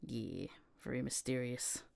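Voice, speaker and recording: A woman's voice: a bass voice, unhurried, creaky, a little mellow. A woman hosting a casual podcast interview, chatting in a giggly, agreeable way. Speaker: podcast host.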